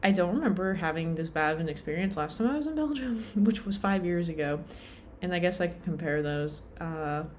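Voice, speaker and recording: Low-voiced woman rambling casually. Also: animated, a little fast, hardly any pauses, slight background noise. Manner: rambling, lots of "like" and "um", self-deprecating. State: tired. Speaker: vlogger.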